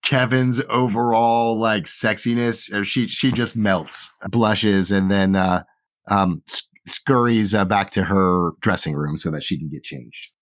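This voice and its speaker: A man's voice: fairly low pitch, measured pace, no echo. Male game master in a dry room. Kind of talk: narrating a tabletop game.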